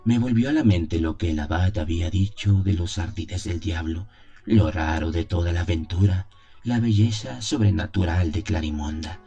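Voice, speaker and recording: A man narrating a gothic horror audio drama in the first person, solemn, measured and dramatic. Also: deep voice, dark tone, muffled recording, soft background music.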